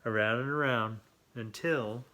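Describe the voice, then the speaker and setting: A man reading a children's story aloud with playful, drawn-out emphasis and chatty asides. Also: mid-range voice, sharp tone. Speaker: children's story reader and host.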